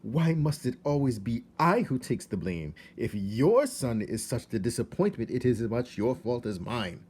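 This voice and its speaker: A man's voice: medium pitch, very animated, moderate pace, darkish tone, breathy edge. A man voicing a vain, dismissive mother mouse from a storybook, with a French accent and haughty manner. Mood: indignant. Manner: haughty, dismissive, oddly phrased English. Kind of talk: storybook character voice.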